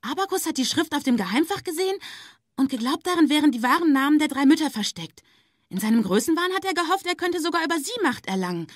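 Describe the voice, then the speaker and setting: An actress playing an excitable, clever young girl in an audio drama. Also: high voice, fast, no echo, clean studio sound. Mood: eager explaining.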